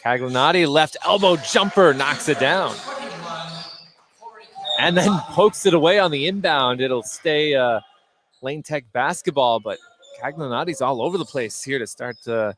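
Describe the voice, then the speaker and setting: A man doing live play-by-play basketball commentary for radio from a high school gym. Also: a little slow, a bit bright. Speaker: play-by-play announcer. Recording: faint gym noise, bouncing basketball.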